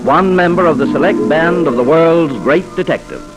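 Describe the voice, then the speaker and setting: A man's voice: fairly high pitch, moderate pace, low-quality recording. A man working as host and announcer of a 1940s radio mystery series, speaking in a polished, formal scripted style. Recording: vintage 1940s radio broadcast. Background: music.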